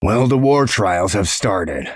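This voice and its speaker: A man playing a gruff, protective male character in a comic dub, speaking in a measured, dry, explanatory way. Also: on the low side, lively intonation, no echo, full character voice. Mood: dry, caring.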